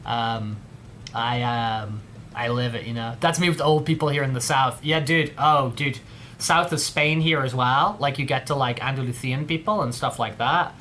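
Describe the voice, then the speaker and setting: A man's voice: mid-range voice, moderate pace, pushed and bright, a little hiss or hum. A man with an English accent hosting a livestream, chatting casually in a rambling, slangy way. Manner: rambling, heavy on slang like dude and bro. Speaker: livestream host.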